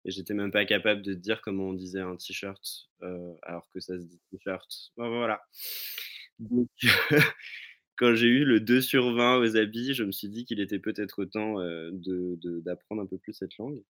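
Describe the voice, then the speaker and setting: Deep-voiced young man, rambling guest. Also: steady tone, moderate pace, a bit bright. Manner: rambling, many fillers and restarts. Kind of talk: casual remote chat. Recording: remote call audio.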